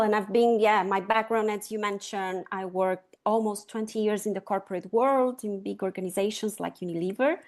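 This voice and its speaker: A woman's voice: mid-range voice, darkish tone. A woman in her fifties from Chile with a Chilean Spanish accent, explaining ideas step by step as an interview guest. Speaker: guest, sustainability consultant. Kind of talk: conversational interview.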